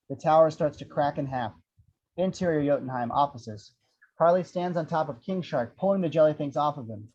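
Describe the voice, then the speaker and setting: A man's voice: a little high, darkish tone. A man narrating action descriptions at a script table read, with irreverent, wry asides. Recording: dull, lo-fi audio.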